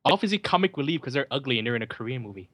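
A man in a dry, close recording talks casually over a movie. His delivery is joking and sardonic, halting now and then as he repeats words. His pitch sits in an ordinary male range. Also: expressive, a bit bright, no echo. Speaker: film commentary podcast host. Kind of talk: casual chat, running movie commentary.

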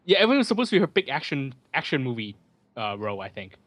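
A man's voice: mid-range voice, big pitch swings, rapid-fire, fairly bright tone, no room sound. Sardonic man chatting over a movie. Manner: sardonic, joking. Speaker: film commentary podcast host. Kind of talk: casual chat, running movie commentary.

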